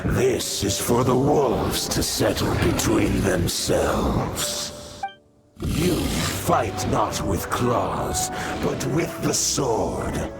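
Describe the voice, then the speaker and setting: An actor voicing an ancient, stern wolf spirit in a video game, speaking in grave, formal proclamations. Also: male voice, mid-range voice, big pitch swings, drawn-out words, gravelly, loud music behind the voice, exaggerated voice. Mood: solemn, commanding.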